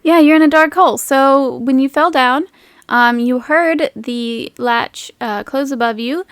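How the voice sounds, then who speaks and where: A woman's voice: high voice, a little slow, dry recording, clean studio sound. A woman running a tabletop game as game master, casually narrating and teasing her players.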